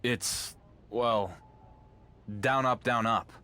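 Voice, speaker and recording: Game casting: champion wrestler with an ordinary mid-range voice, sarcastic, put-upon and defensive. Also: male voice, mid-range voice, fairly even intonation, drawn-out words, sharp tone. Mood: evasive. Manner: dry, sardonic retorts, defensive under accusation.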